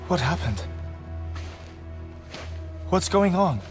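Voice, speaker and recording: An actor playing an eccentric, grieving middle-aged scientist in a video game, speaking in formal, precise, halting phrases. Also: male voice, high-pitched, big pitch swings, music in the background, exaggerated voice. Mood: desperate, pleading. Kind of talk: video game cutscene acting, confessional monologue.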